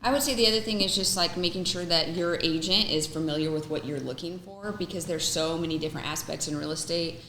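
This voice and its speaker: A woman with a Texan accent, a real estate agent talking quickly and animatedly as a podcast guest. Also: on the low side, fairly bright tone, slight background noise. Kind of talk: casual chat. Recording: echoey room.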